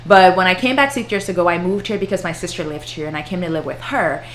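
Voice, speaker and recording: A woman's voice: on the low side, fast, slight background noise, rough recording. A woman, chatting casually with viewers on a livestream and laughing often. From Ghana. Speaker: flight attendant and YouTuber.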